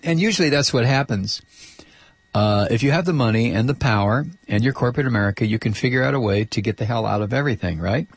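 Gravelly, ranting talk radio man. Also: medium pitch, quick pace, no echo. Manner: angry, ranting, sarcastic. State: losing his voice. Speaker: radio talk show host. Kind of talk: political talk radio monologue.

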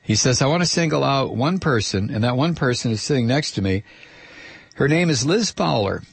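Gravelly, ranting talk radio man. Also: medium pitch, lively intonation, normal speed, no echo. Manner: angry, ranting, sarcastic. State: losing his voice. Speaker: radio talk show host. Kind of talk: political talk radio monologue.

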